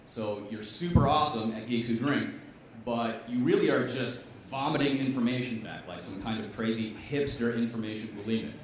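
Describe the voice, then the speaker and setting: A man's voice: medium pitch, normal speed, slight background noise. Wry man talking from an echoey stage. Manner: wry, emphatic, punchy delivery. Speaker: chemistry professor giving a comedic science talk. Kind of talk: stand-up style science talk. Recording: echoey room, voice from a distance.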